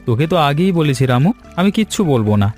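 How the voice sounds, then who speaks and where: A man playing a poor, honest, kind young villager in an animated children's story, gentle and conciliatory in manner. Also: fairly high pitch, fairly quick, faint music behind. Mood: calm, resigned.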